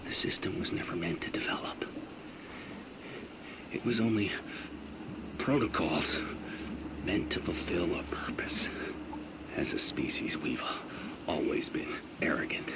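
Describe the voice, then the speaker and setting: An actor playing a doomed scientist in a game, recording audio logs in a breathy, halting voice that often drops to a whisper, brooding with fear and awe. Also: male voice, low-pitched, lively intonation, low-quality recording. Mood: pensive, bitter. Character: doomed male scientist, awed and fearful, slowly transforming. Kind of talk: scripted audio log monologue, dramatic game acting. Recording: heavy noise over the audio log.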